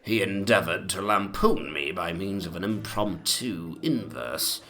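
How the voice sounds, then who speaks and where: A man playing a very old, rambling gentleman memoirist with a pompous, old-fashioned manner in a dramatic reading. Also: sits low, expressive, measured pace, exaggerated voice. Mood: reminiscing, pompous.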